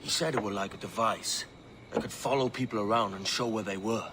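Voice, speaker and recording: An actor playing a cocky, ambitious young pirate in a video game cutscene. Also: male voice, on the low side, fairly quick, bright, forceful tone, a little hiss or hum. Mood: scheming, boastful.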